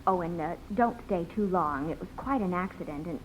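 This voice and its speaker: A woman's voice: on the low side, lively intonation, brisk, slight background noise, natural voice. A woman playing a polite, hesitant hospital nurse in a 1950s radio comedy, on a dull old recording. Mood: gently cautioning.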